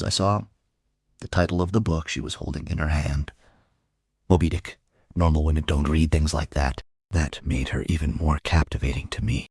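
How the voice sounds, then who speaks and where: A man's voice: low voice, slightly rough, no room sound, studio quality. A man playing a middle-aged homicide inspector who narrates a crime audio drama in a wry, musing, literary manner.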